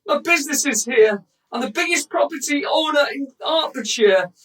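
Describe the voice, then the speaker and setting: Radio drama: actress as female football club owner and property magnate. Blustering, exasperated, emphatic, higher-pitched, slightly off-mic. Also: lively intonation, moderate pace, a bit bright. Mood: panicked, ranting. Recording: recorded at a distance from the mic.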